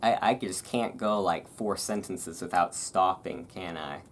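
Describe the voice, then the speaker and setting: A person reading aloud in a relaxed way with casual, rambling asides, halting and self-deprecating about it. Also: low-pitched, a little hiss or hum. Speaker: reader and host. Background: thunderstorm ambience.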